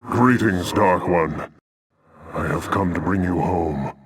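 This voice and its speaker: An actor playing a noble, grave alien warrior leader in a video game, speaking in a formal, solemn, archaic way. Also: male voice, low-pitched, slow pace, slightly rough, heavily acted. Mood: solemn pleading. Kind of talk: dramatic video game voice acting.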